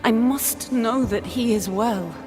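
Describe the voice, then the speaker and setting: A woman's voice: on the high side, slow, music in the background. An actress playing the wistful, lovelorn ghost of a woman in a dramatic video game. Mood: longing, sorrowful.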